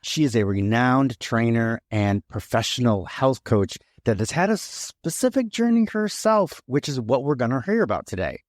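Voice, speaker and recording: A man's voice: medium pitch, lively intonation, moderate pace, few pauses, dry recording, clean studio sound. A man hosting a podcast, welcoming a guest and asking questions in a loose, chatty way. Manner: scripted upbeat intro, then loose chatty questions. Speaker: podcast host.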